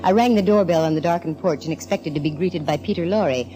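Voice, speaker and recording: An actress in a 1940s radio drama playing a breezy, self-assured private eye, with wry, slangy delivery. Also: female voice, on the low side, fairly quick, over music, close to an everyday voice, rough recording. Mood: wry narrating. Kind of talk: 1940s radio acting, wry first-person detective narration and dialogue.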